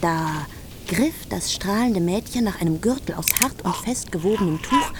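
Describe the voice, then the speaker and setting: A woman's voice: medium pitch, expressive, moderate pace, heavy background noise, natural voice. A woman narrating a heroic epic as a composed storyteller in a literary radio drama. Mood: composed, storytelling. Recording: loud music behind the voice.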